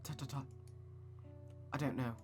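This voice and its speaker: A man's voice: medium pitch, steady tone, unhurried, a little mellow, faint music behind, natural voice. A man voicing a controlling, bitter mother in a visual novel, cold, commanding and lecturing. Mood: cold, brooding. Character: controlling, bitter, menacing mother, adult woman.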